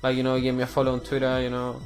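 A man's voice: mid-range voice, monotone, racing, soft background music. A young man from Chile with a Chilean Spanish accent, chatting loosely in an interview about fighting games, full of "bro" and "you know". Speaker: fighting game player and commentator. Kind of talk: casual interview.

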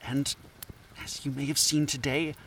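An actor playing an earnest captive anthropologist, speaking haltingly and persuasively in an audio drama. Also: male voice, mid-range voice, level tone, a bit bright, a little hiss or hum, natural voice, poor audio. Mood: nervous, persuasive. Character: earnest scatterbrained male anthropologist, captive and pleading.

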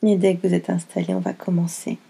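A woman leads a guided meditation with a soft, husky voice that stays dark and mellow. She speaks gently in short, soothing phrases, leaving long pauses between them. There is a light fry in her voice as she gives each unhurried instruction. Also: on the low side, level tone. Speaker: yoga nidra guide.

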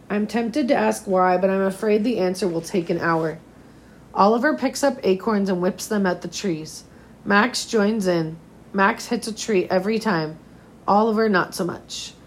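Female schoolteacher, voice a touch hoarse, mid-range, reading storybook narration to pupils. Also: mid-range voice, a little hiss or hum. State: slightly hoarse, recovering from nearly losing voice. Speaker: teacher reading a novel aloud to fifth graders. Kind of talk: read-aloud storytelling of a children's novel.

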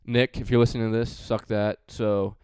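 A man's voice: fairly low pitch, level tone, moderate pace, darkish tone, smooth, dry recording, clean studio sound. A man hosting a casual sports podcast, chatty and joking, with frequent fillers. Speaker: podcast host.

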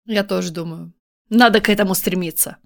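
A woman co-hosting a podcast, talking in a relaxed, agreeable way and often murmuring assent. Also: mid-range voice, brisk, vocal fry. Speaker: podcast co-host. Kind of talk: casual chat.

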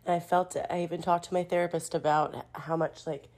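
Low-voiced woman in her thirties, stuffy with a cold, chatty voice-memo delivery. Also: fairly even intonation, brisk, darkish tone. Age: 30s. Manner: chatty, self-deprecating, many fillers. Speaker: contributor sending a voice memo. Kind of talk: casual voice memo.

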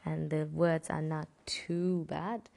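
Low gravelly woman. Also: slow pace. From Romania. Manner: relaxed, rambling, with fillers and small laughs. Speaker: interview guest. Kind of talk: casual interview answers.

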